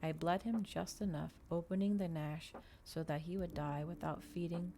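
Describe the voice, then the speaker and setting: A woman doing an ASMR reading of a novel, soft-spoken and measured, with a dramatic storytelling delivery. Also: lowish voice, steady tone, slight background noise. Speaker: streamer reading a novel aloud.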